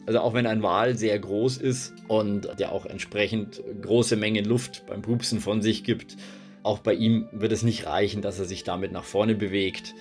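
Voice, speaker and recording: A man's voice: on the low side, fairly even intonation, moderate pace, darkish tone, faint music behind. A man answering children's questions as an expert, explaining things in simple spoken language.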